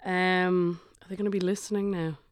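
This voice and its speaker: An Irish theatre performer and playwright chatting reflectively as a podcast guest, moving between soft and lively. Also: mid-range voice, normal speed, dry recording. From Ireland. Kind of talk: casual podcast conversation.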